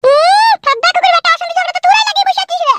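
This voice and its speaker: A woman's voice: extremely high pitch, rapid-fire, heavy background noise. A woman voicing a furious, protective village mother in a cartoon comedy, scolding and cursing shrilly. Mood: furious threats. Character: furious foul-mouthed village mother, fiercely protective of daughter.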